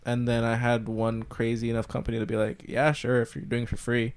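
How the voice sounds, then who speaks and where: Fast-talking young man hosting a casual podcast. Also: mid-range voice, monotone, a little hiss or hum. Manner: rambling, filler-heavy, asks lots of questions. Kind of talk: casual chat.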